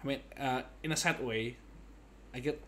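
A man's voice: mid-range voice, moderate pace, a bit bright, slight background noise. A man in his thirties giving casual, playful playthrough commentary, often echoing and repeating words. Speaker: gaming streamer. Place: indoor gaming setup. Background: game music and dialogue.

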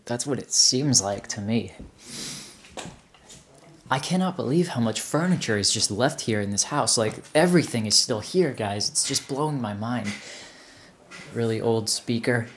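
A man's voice: medium pitch, lively intonation, normal speed, long pauses, slight background noise. A man in his twenties doing casual vlog narration as he explores indoors, musing and wondering aloud. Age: 20s. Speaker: urban explorer vlogger. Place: indoors, rooms of an abandoned house. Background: music.

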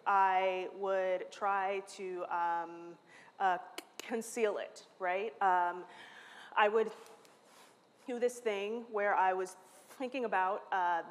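Slow-spoken woman who stutters, in a hall. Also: mid-range voice. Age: 30s. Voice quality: stutters, with repeated sounds and restarts. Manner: candid and self-mocking. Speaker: conference speaker. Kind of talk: lightning talk. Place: large hall, standing at a lectern on stage. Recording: long reverb from a large hall.

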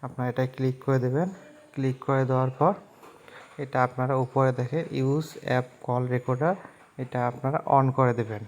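A man's voice: mid-range voice, fairly even intonation, brisk. A man narrating a phone app tutorial step by step, in a repetitive, instructional way. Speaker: tech tutorial host.

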